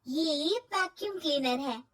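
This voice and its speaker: A woman's voice: sits high, animated, a little slow, a bit bright, voice pushed down. A woman voicing a determined young daughter-in-law from the city in a cartoon story, explaining things politely and earnestly.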